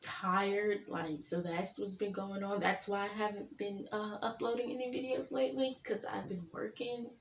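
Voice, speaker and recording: A tired young woman, her voice going hoarse, chatting in a rambling vlog with lots of "like" and "you know what I mean". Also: mid-range voice, steady tone, normal speed, few pauses. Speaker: YouTuber, fan-fiction writer. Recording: echoey room with faint hiss.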